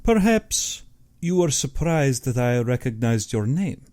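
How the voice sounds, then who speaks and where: A man's voice: medium pitch, big pitch swings, warm tone. A man voicing a suave, courtly aristocratic Russian general, an affable but sinister hunter, in an audiobook. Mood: affable, probing. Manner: courtly, measured, self-satisfied.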